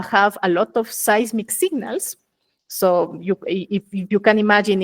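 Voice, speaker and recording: Ecuadorian woman explaining with careful pauses. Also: medium pitch. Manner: thoughtful, explains step by step with many "uh" pauses. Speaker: geophysicist guest. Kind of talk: casual interview.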